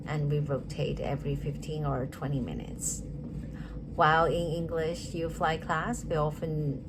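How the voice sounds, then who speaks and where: Low-voiced woman. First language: Chinese. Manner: earnest, measured, frequent restarts and self-corrections. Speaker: teacher interview candidate. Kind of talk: rehearsed interview answers. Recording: steady background noise.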